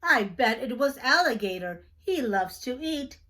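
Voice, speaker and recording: Woman as a kindly picture-book tomcat, high, expressive pitch, earnest, fair-minded, unhurried. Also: heavily acted. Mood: concerned. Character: friendly, fair-minded male storybook cat.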